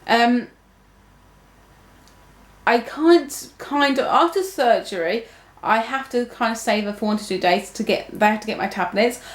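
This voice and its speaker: A young woman chats straight to her viewers in a fairly high voice. She rambles, stops and restarts, with lots of fillers along the way. The sound bounces around an echoey room. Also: a little slow, long pauses. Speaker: vlogger. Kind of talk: casual talk to camera.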